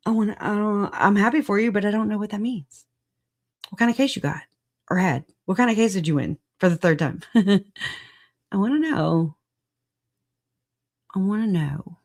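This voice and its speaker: A livestream host with a raspy voice, hoarse after a recent illness, chatting casually and laughing a lot at themselves. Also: mid-range voice, measured pace, pauses often. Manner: laughs often, self-deprecating, chatty. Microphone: computer mic on streaming software.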